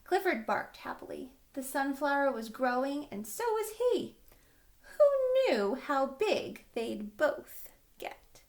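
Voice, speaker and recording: Woman, children's read-aloud, higher-pitched, very animated intonation, expressive emphasis. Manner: expressive, with drawn-out emphasis for young listeners. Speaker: children's book reader.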